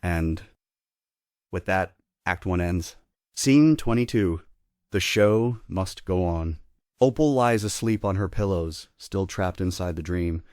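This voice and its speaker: A man's voice: low-pitched, slow pace, a little mellow, no room sound, studio quality. A man giving scripted narration, reading out the stage directions of a play.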